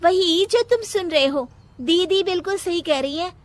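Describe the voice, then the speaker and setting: A woman's voice: very high-pitched, normal speed, no echo, voice pushed up. A woman voicing a dutiful, earnest young daughter-in-law in a cartoon moral story. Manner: earnest, respectful pleading.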